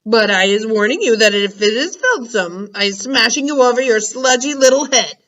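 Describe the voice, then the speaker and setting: A woman reads as a huge brutish man-eating giant in a storybook, booming and bellowing his mangled grammar. The voice sits a bit away from the microphone, muffled and dull. Greedy and threatening throughout. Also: lively intonation, normal speed, recorded from a distance. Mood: menacing. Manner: booming, shouting, ungrammatical giant talk.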